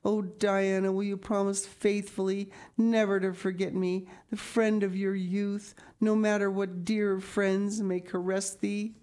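A woman's voice: medium pitch, a little slow, dark tone, voice pushed up, lightly acted. A woman voicing a dramatic, romantic young orphan girl in a storybook, earnest and tearful, with flowery old-fashioned phrasing. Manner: flowery, archaic, theatrical phrasing. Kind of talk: audiobook character reading.

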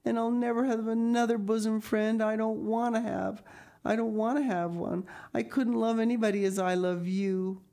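A woman's voice: very high voice, lively intonation, takes it slowly, vocal fry, few pauses, dark tone, voice pushed up, full character voice. A woman voicing a sad, devoted young girl in a storybook, a loyal best friend who speaks plainly and earnestly. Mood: sobbing devotion. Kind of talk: audiobook character reading.

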